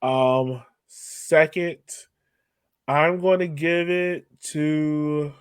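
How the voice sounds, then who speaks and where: A man's voice: fairly high pitch, steady tone, drawn-out words, clear, resonant tone, dry recording, studio quality. A man co-hosting a soccer fan podcast, talking casually and informally about games in an upbeat way. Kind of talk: casual game-analysis chat.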